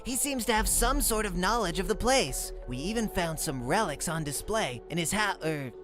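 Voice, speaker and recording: A performer acts out an eager young male cup creature from a cartoon. He lectures like a bookish enthusiast, precise and easily excited. The voice sits at a middle pitch over faint music. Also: normal speed, lightly acted. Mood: explanatory. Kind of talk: comic dub, animated cartoon lecturing.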